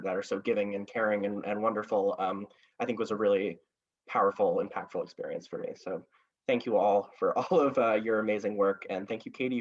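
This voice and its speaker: A man gives reflective remarks as an actor on a panel. He speaks haltingly, pausing and searching as he goes. The audio is slightly dull and lo-fi. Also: on the low side.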